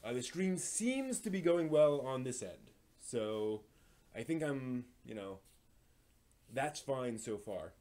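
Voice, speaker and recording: A man hosting a casual live stream, explaining hobby projects in a halting, thinking-aloud way. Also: mid-range voice, very animated, dark tone.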